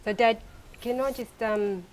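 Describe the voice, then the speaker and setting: Aussie woman, middle-aged, chatting w her dad, soft um-filled prompts, bit of hiss behind. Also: on the high side, fairly even intonation, a little slow, rough recording. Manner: gentle, prompting, with many fillers. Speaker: interviewer. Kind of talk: casual interview.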